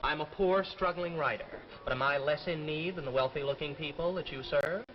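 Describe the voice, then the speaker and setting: An actor playing a cocky, scheming young writer who talks in pushy slang in a 1950s drama. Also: male voice, fairly high pitch, fairly quick, close to an everyday voice. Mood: sly, persuasive. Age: young adult. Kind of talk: 1950s television drama acting. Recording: muffled 1950s broadcast, music behind.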